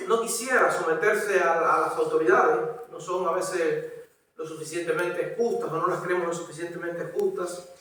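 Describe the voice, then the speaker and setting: High-voiced Cuban preacher in echoey hall. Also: male voice, fairly bright tone. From Cuba. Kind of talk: expository sermon with scripture reading. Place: church hall. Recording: echoey room, distant microphone.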